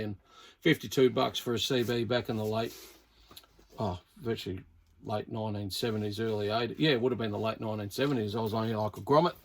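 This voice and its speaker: A man's voice: medium pitch, creaky. A man of about sixty with an Australian accent, rambling casually with many uhs and ums as he shows off an old radio to his viewers. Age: around 60. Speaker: radio hobbyist showing a CB set. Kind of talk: casual show-and-tell to camera.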